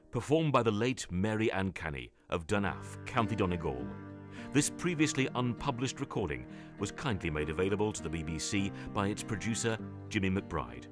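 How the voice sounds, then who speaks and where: A male radio announcer reads scripted credits. His voice is deep and moves with lively intonation. Music plays clearly behind him.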